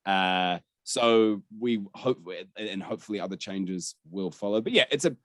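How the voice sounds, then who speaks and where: A man's voice: on the low side, normal speed, no echo, studio quality. A man hosting a gaming podcast, talking through the news in a candid, sweary, conversational way. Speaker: podcast host.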